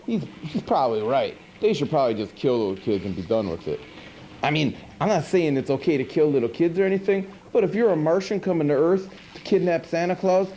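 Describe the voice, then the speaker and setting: A man plays a goofy, good-natured monster hosting a late-night horror movie show. His voice is fairly high and mellow, and he rambles hesitantly in a casual deadpan. The sound is a dull, slightly hissy 1980s videotape. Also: natural voice. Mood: matter-of-fact. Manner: rambling, hesitant, laid-back deadpan asides with pitch swoops. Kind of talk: casual comic hosting monologue. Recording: dull noisy 1980s VHS tape.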